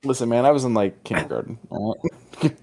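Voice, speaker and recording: A man's voice: mid-range voice, sing-song, brisk, gravelly, darkish tone, husky. A man co-hosting a podcast, chatting in an offhand, self-deprecating way. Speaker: podcast co-host. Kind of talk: casual podcast chat.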